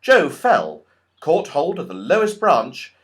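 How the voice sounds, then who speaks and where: A man reading a children's story as a narrator, with expressive, scripted storytelling. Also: a little high, slow. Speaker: audiobook reader.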